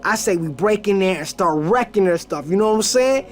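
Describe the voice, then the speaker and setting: Game cutscene role: young streetwise rebel, cocky, eager, slangy, over background music. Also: male voice, high-pitched, lively intonation, fairly quick. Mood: eager, scheming.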